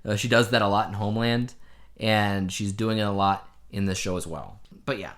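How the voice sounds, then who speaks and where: Low-voiced man chatting as podcast co-host. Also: normal speed, a bit bright. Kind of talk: casual chat reviewing a TV show.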